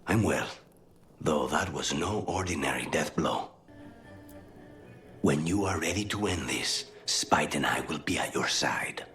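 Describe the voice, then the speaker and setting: Game dialogue from a brooding male assassin. His voice sits low and often drops to a breathy near-whisper. He sounds weary, devoted and resolute, with faint music behind him. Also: unhurried, a bit bright. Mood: grim, resolute. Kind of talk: intimate dramatic video game dialogue.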